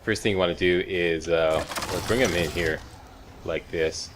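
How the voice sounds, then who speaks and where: A man's voice: low-pitched, steady tone, moderate pace, background noise. A man giving casual, folksy video game walkthrough commentary with wisecracking asides.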